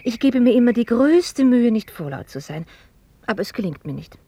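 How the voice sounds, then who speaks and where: An actress playing an outspoken young heiress in a radio drama, wry, articulate and playfully self-mocking. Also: female voice, fairly high pitch, sing-song, brisk, warm tone, husky, no room sound. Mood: playfully apologetic. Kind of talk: German radio drama acting.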